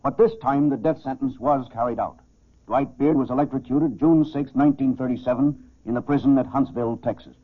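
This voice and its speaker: A man's voice: mid-range voice, steady tone, a little fast, lightly acted. A man as a sober police chief, giving scripted dramatic narration of a crime case on 1940s radio. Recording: muffled, boxy 1940s radio recording.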